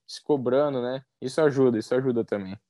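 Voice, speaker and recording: A young man with a southern Brazilian accent chats in an easygoing, unhurried way, stretching his fillers as he thinks aloud. His voice sits in an ordinary middle register. The recording is dry and close, with no room sound around him. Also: medium pitch, no echo. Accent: southern Brazilian Portuguese. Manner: relaxed, easygoing, drawn-out fillers. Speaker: professional athlete guest. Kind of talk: casual podcast conversation.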